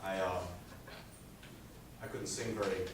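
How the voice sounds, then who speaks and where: Teen boy, low monotone voice, earnest student presenter, distant mic in an echoey school room with noise. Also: slow. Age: teens. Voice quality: low speaking voice. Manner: earnest, rambling with many "uh" fillers. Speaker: high school student presenting a senior project. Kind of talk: presentation speech.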